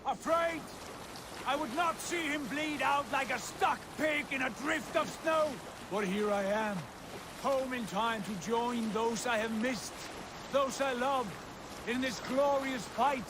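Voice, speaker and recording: High-voiced, proud Viking prince. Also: male voice, takes it slowly. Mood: rousing. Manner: grand, declamatory. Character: proud, bold Viking prince, rousing war leader. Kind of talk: video game cutscene acting. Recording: clear water and outdoor ambience noise.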